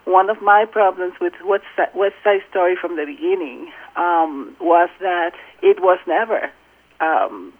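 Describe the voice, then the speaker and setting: A middle-aged woman from Rico, speaking in an earnest, measured way with thoughtful pauses as a guest in an interview. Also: fairly low pitch. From Puerto Rico. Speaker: language and accent consultant. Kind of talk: phone interview. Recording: tinny phone line.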